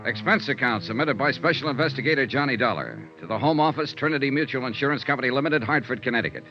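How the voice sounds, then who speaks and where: An actor with an American accent playing a confident, wry male insurance investigator and narrator in a 1950s radio drama, crisp and teasing. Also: mid-range voice, animated, fast, over music, natural voice. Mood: brisk narrating. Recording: dull 1950s radio recording.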